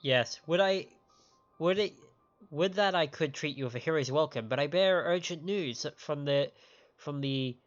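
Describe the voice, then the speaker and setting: A man's voice: a little high, unhurried. Man streaming a game, dull lo-fi sound. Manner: stumbles over words when reading aloud. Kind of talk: live game stream, reading dialogue aloud and reacting. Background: game music.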